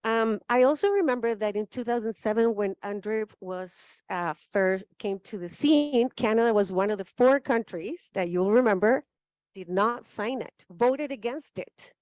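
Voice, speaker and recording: A woman's voice: fairly high pitch, normal speed, a little mellow, dry recording. A woman from Chile, speaking as a panelist in a thoughtful, emphatic way and often checking in with "right?". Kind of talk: spoken forum remarks.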